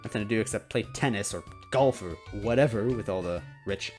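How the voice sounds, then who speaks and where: A man's voice: lowish voice, a little fast, soft background music, lightly acted. A man voicing a brash, impatient rich young woman who talks in a scornful, blunt way in a detective story reading. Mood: huffy.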